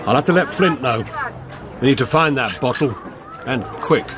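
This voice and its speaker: An actor playing a middle-aged, seasoned policeman with a husky voice, steady and firm, in a radio drama. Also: medium pitch, normal speed, over music, a crowd in the background, lightly acted. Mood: urgent, resolute. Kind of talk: radio drama acting with first-person narration.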